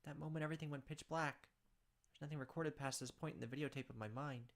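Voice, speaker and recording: A man reading a visual novel's text aloud as a let's play narrator, dramatic, with quick asides of his own. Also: fairly high pitch, steady tone, vocal fry.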